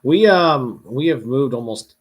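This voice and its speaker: A man's voice: mid-range voice, animated, unhurried, breathy edge. A congested man hosting a casual online talk show, easygoing and full of fillers and restarts. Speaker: podcast host. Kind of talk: casual video-call chat.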